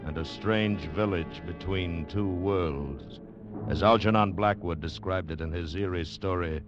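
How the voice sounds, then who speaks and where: A man's voice: low-pitched, unhurried. A man performing as an ominous, portentous announcer on a 1940s radio drama anthology. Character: ominous male announcer of eerie adventure tales. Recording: dull vintage radio recording, music behind.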